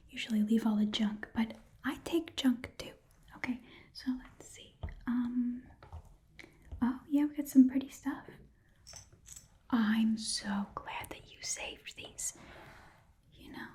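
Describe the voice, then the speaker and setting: A breathy, whispering goblin pawnbroker, played by a woman in an ASMR role-play. The voice sits fairly high with a soft, mellow tone. The talk is cheerful, shady and chatty, rambling along as a casual hard sell. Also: close to an everyday voice.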